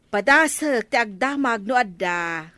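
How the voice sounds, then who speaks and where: Highish-voiced, helpful Ilocano friend. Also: female voice, animated, a little slow, bright, forceful tone, no room sound. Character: sympathetic, practical village woman friend. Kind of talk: Ilocano radio comedy drama acting.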